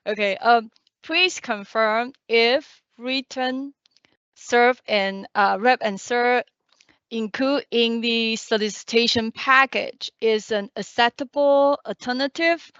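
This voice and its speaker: A woman's voice: fairly high pitch, takes it slowly, a bit bright, no echo. A woman moderating a training webinar and reading out audience questions, hesitant with fillers. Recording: dry, muffled webinar audio.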